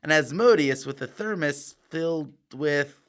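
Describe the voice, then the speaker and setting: A man playing a cheeky, easygoing and slightly dim teenage high school boy in an audio drama, with breezy, slangy talk. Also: animated, unhurried, smooth. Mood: friendly.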